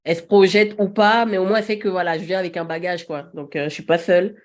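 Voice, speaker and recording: A woman's voice: on the low side, fairly even intonation, quick pace. A woman in her thirties, a podcast guest, talking casually with many fillers and easy laughs. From Paris region. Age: 30s. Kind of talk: casual chat.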